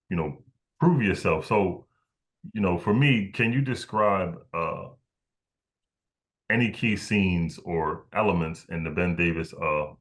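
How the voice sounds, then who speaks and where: A man with a low voice speaks as a podcast host. His casual interviewing is halting and meandering, with words often repeated as he works toward his point. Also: fairly bright tone.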